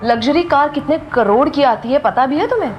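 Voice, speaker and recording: Catty, high-pitched spoiled rich girl. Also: expressive, fairly quick, dull audio, faint music behind. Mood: scornful. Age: young adult. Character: spoiled, catty rich young woman, smug rival. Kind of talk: Hindi audio drama acting.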